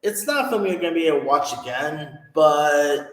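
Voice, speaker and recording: A man's voice: on the high side, lively intonation, normal speed, a bit bright. A man giving a casual, rambling film review, full of fillers and restarts. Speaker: movie reviewer. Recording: echoey room with long reverb.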